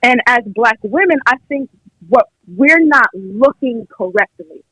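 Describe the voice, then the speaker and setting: A woman's voice: fairly high pitch, lively intonation, measured pace, bright, forceful tone, breathy edge, no room sound, rough recording. A young American woman calling in to a podcast, arguing her opinion conversationally and emphatically, often acting out what others would say. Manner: emphatic, acts out imagined quotes. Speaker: caller on a podcast.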